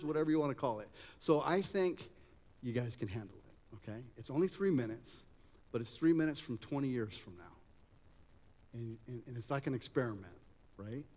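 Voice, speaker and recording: A man's voice: on the high side, animated, moderate pace, a little mellow. A middle-aged man from Germany with an American-tinged accent, giving an informal motivational keynote in a conversational way. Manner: conversational, addresses audience with rhetorical questions. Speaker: conference speaker. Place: event hall at a conference campus. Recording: echoey hall, long reverb.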